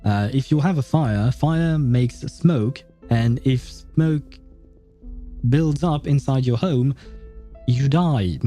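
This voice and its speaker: Dark, mellow-voiced man rambling on stream. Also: medium pitch, slow, faint music behind. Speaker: game streamer. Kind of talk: casual livestream commentary.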